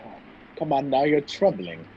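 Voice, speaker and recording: Slightly muffled and noisy, a man plays a gentlemanly hero of a radio thriller. He is polite and earnest, with a hesitant, old-fashioned delivery. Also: mid-range voice, very animated, moderate pace, natural voice. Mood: polite, easygoing. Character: gentlemanly male hero caught in a spy intrigue. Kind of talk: old-time radio play acting. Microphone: video call. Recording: dull, noisy video call audio.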